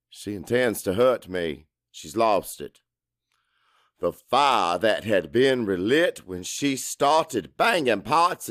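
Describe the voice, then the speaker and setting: Actor as a gruff Cajun father, widely swinging pitch. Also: fairly bright tone, no echo, studio quality, exaggerated voice. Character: crude, hot-tempered, hard-drinking Cajun father narrating his story. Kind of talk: first-person dramatic audiobook narration.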